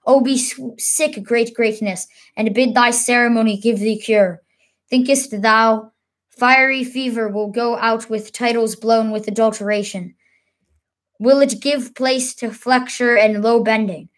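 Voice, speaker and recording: A woman's voice: a little high, fairly even intonation, a little slow, natural voice. A woman reading the part of a troubled, brooding king in Shakespearean verse, declaiming and sometimes restarting a stumbled word. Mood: questioning, scornful. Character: troubled young warrior king, brooding on burdens of rank.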